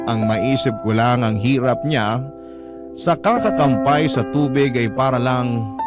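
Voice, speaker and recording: A man with a Filipino accent giving a sorrowful, emotive dramatic narration of a letter. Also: mid-range voice. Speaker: narrator reading a listener's letter. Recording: loud music bed behind narration.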